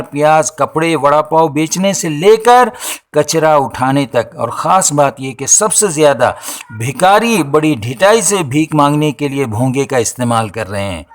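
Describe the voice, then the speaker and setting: A man delivers a scripted opinion monologue in formal language, with oratorical, deliberate phrasing. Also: on the high side. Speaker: social and religious commentator.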